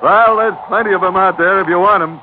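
Loyal, ribbing first mate male actor, informal delivery, slightly high pitch, thin old radio adventure recording. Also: a little fast, background noise, natural voice. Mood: genial. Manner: informal, plain-spoken, ribbing. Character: loyal, wry first mate from a sailing ship. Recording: tinny vintage radio, loud music.

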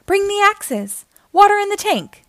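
An urgent firefighter from a children's picture book, as a woman reader puts it on. The calls are hollered and high, with lively swings in pitch, and sound dry and close. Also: measured pace, no echo, exaggerated voice. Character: urgent fire chief and crew calling orders. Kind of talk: children's storybook read-aloud.

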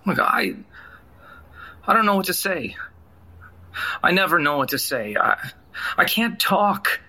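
An actor playing a troubled, evasive young husband in an audio drama, hesitant and searching for words. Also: male voice, sits high, very animated, unhurried, pushed and bright, full character voice. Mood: anguished, halting. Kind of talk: naturalistic audio drama acting.

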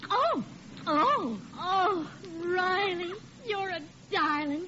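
An actress playing an adoring, sweet, gushing housewife in a vintage radio sitcom. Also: female voice, very high voice, expressive, drawn-out words, crowd noise, exaggerated voice. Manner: gushing, affectionate. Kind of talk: 1940s radio sitcom acting. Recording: muffled, very noisy old radio recording.

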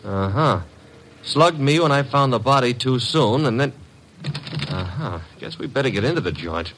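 A man's voice: mid-range voice, animated, moderate pace, fairly bright tone, natural voice. Guy acting as cheeky young detective sidekick, breezy and wisecracking, old radio show, bit of background noise. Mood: wry, cautious. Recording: noisy vintage radio recording.

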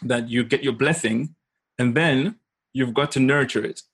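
A man speaks as an interview guest at a middling pitch. He tells personal stories informally and emphatically, often checking that the listener is following. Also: a little slow, fairly bright tone, husky. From Zimbabwe. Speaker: interview guest, consultant and coach. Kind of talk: animated personal storytelling in casual conversation.

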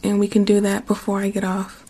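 A hoarse woman chatting casually and reassuringly to her livestream viewers, often repeating herself. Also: mid-range voice, completely flat delivery, a little fast, mellow. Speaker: ASMR livestream host. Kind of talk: casual chat to live viewers.

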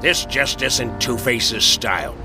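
Male actor as authoritative, serious commissioner for superhero audio drama; medium pitch, clear music bed. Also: slow. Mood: puzzled. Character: earnest male police commissioner, puzzled but authoritative.

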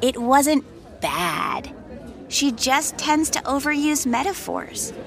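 A woman's voice: high voice, lively intonation, slow pace, some chatter behind, heavily acted. A woman in an audio drama playing an exacting daughter, matter-of-fact and instructive as she talks to her father.

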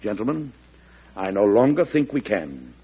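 An actor playing a grave, forceful American president in an old radio drama, speaking in a solemn, oratorical way. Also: male voice, lowish voice, big pitch swings, normal speed, slightly rough, heavily acted. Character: grave, impassioned American president pressing for war. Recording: muffled old radio recording.

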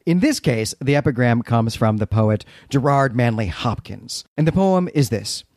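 A man's voice: mid-range voice, lively intonation, moderate pace, darkish tone, dry recording, clean studio sound. A man co-hosting a literary podcast in a chatty, explanatory, conversational way. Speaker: podcast co-host.

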